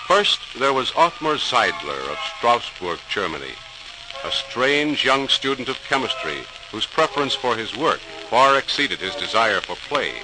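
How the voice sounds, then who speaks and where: Male narrator for a vintage radio docudrama: formal, theatrical, widely swinging intonation, hissy old recording. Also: mid-range voice. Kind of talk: dramatic scripted 1940s radio narration.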